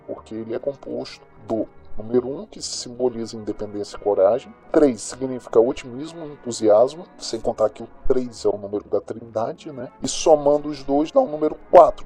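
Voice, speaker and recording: A man's voice: medium pitch, mellow, natural voice. A Brazilian man playing a cynical, gossipy small-town crime news host in an audio drama, talking in a slangy, sardonic, colloquial way. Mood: chatty, musing. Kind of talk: audio drama monologue as chatty radio host. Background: music.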